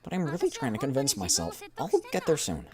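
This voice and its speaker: A woman's voice: extremely low pitch, a little fast, a crowd in the background, voice pushed up, full character voice. A woman voicing a bubbly female android, a playful, clingy older sister who teases and coaxes in a game story. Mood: playful, coaxing. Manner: playful, animated, coaxing.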